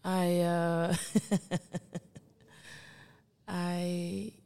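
A young woman from the Netherlands, a guest performing artist, speaking reflectively and searching for her words with many pauses. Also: on the low side, flat delivery, gravelly, mellow, smooth, big-room sound. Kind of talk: reflective conversation.

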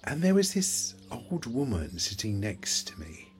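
A man's voice: mid-range voice, big pitch swings, slight background noise. An actor playing a forgetful, wry old man who rambles and questions himself in an audio play monologue. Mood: wry, musing. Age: older. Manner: rambling, halting, self-questioning.

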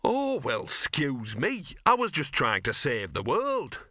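A man's voice: mid-range voice, lively intonation, moderate pace, pushed and bright, no echo, clean studio sound. A man voicing a sullen little dog in an audiobook, muttering and whining self-pityingly in slangy, colloquial speech. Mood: sullen, aggrieved. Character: grumbling, sullen small bow-legged talking dog.

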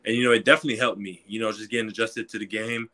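Young man, bright tone, lowish pitch, casual interview guest. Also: very fast. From Quebec, Canada. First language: French. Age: 20s. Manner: relaxed, many fillers like "you know". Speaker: guest, college football player.

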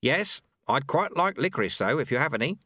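A man voicing a serene, wry old monk who talks in a sage, deadpan, proverb-quoting way. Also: medium pitch, a little fast, no echo, pitched above the performer's own voice. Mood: mild, wry.

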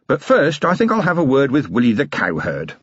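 A man voicing a gruff middle-aged police commander who grumbles dryly. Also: medium pitch, wildly swooping pitch, fairly quick, dry recording, lo-fi sound, pitched above the performer's own voice. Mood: wry. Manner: dry, grumbling and wry.

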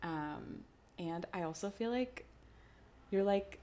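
A woman's voice: fairly low pitch, animated, slow, mellow. A woman hosting a podcast, chatting casually with plenty of "like" and "um.". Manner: chatty, upbeat, lots of likes and ums. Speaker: podcast host.

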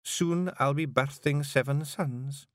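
A man voicing a small, stern female pixie clan leader with a sharp, commanding Scottish voice. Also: fairly high pitch, lively intonation, normal speed, no room sound, clean studio sound, pitched above the performer's own voice. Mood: firm. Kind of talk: audiobook character voice.

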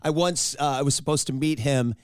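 A man hosting an interview show, chatty and self-deprecating, his voice raspy from a cold. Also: medium pitch, a little fast, no room sound, clean studio sound. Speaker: host. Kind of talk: casual interview and narration.

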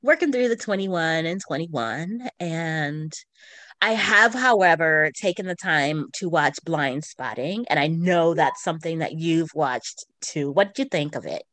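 A woman's voice: sits low, lively intonation, a little slow, fairly bright tone, no echo. A woman co-hosting a podcast in casual, giggly conversation. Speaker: podcast co-host. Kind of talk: casual chat about books and shows.

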